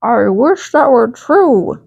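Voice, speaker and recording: A woman's voice: fairly high pitch, big pitch swings, slow, creaky, darkish tone, husky, no room sound, dull audio, pitched above the performer's own voice, heavily acted. A woman voicing a young, chocolate-loving schoolgirl in a storybook, with simple, emphatic childlike delivery. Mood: defiant. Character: young schoolgirl who is utterly devoted to chocolate. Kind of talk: storybook character voice.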